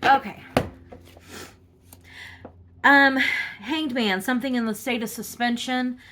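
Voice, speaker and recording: A woman's voice: fairly high pitch, noisy background. Woman with a cold, doing a rambling tarot reading. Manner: rambling, halting, full of fillers. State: congested from a cold. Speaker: tarot reader. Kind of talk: casual tarot reading monologue.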